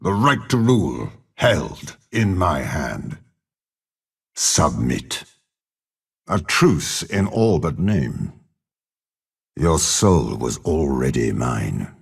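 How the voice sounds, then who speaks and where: A super deep gravelly old warlord villain for a video game, cold n scheming, talks slow and menacing. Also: male voice, very animated, heavily acted. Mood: haughty, menacing. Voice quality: gravelly, husky. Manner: measured, haughty, menacing. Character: cold, scheming older male warlord and spymaster, imperious villain. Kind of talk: video game character voice lines.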